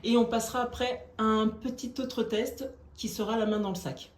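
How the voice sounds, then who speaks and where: A woman's voice: fairly high pitch, steady tone, normal speed. Upbeat young woman giving instructions. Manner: upbeat, encouraging. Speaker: interviewer. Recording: echoey room.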